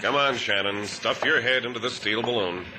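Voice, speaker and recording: An actor playing a mocking, menacing gangster villain with theatrical, flowery slang in a 1950s radio drama. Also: male voice, medium pitch, normal speed, close to an everyday voice. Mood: impatient. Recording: noisy vintage radio recording.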